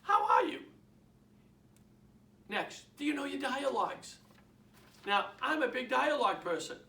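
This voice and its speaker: A man with a Boston accent coaches real estate agents, pressing his points again and again and throwing questions at his listeners. His voice sits high and sounds bright and forceful. He is recorded from a distance in a roomy, echoing space. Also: expressive, measured pace, vocal fry. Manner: emphatic, repeats points, asks the audience questions. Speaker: real estate sales trainer. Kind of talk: motivational sales talk. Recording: roomy, from off the mic.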